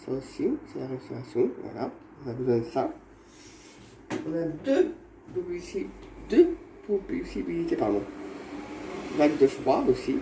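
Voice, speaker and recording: A man's voice: fairly high pitch, big pitch swings, vocal fry. Rambling man with a cold, echoey room. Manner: rambling, repeats himself, halting restarts. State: has a cold. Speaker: vlogger. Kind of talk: casual home how-to vlog. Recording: echoey room with faint background noise.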